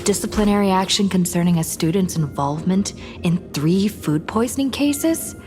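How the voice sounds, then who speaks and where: A woman's voice: mid-range voice, normal speed, darkish tone, soft background music, close to an everyday voice. An actress playing a cool, observant young woman in a video game, dry and matter-of-fact. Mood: dry, pensive.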